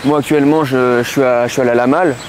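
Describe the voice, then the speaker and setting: A man in his twenties from the Lyon region, answering interview questions outdoors in a city, pausing often with fillers. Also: medium pitch, fairly even intonation, darkish tone. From Lyon region, France. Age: 20s. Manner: hesitant, many fillers and restarts. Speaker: interview guest. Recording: clear street noise behind.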